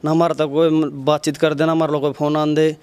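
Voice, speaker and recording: A man with a Haryanvi accent answering interview questions in a halting, rambling way. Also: fairly high pitch, flat delivery, darkish tone, smooth, no room sound.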